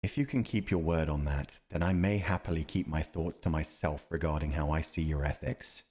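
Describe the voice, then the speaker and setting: Male actor as steadfast fantasy war commander: very low voice, formal, measured, honourable. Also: a little mellow. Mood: cool, candid. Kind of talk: fantasy audio roleplay acting.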